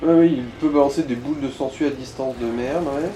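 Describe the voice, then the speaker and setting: A man's voice: medium pitch, a little fast, dark tone, faint music behind. A man giving casual, blunt gameplay commentary and tips to the player, peppered with crude slang and swearing. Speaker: let's play co-commentator guiding the player.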